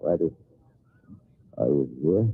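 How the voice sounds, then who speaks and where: A man's voice: expressive, slow, heavily acted. An actor playing a tough, stubborn, wounded western lawman in an old-time radio drama. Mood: dazed, weak. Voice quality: deep, gravelly drawl. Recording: muffled, narrow-band old radio recording.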